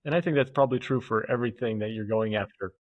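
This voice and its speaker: A man's voice: mid-range voice, no echo. Thoughtful man, dull recording. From United States. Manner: thoughtful, halting, with many fillers and restarts. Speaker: podcast guest. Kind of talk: casual conversational interview. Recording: dull, muffled podcast audio.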